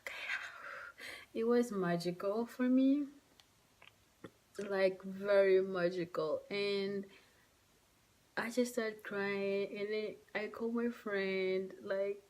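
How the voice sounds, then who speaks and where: A young woman who speaks English as a second language, telling a personal story to camera in a halting, soft, emotional way with many fillers. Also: medium pitch. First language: not English. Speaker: vlogger. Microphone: phone or camera mic.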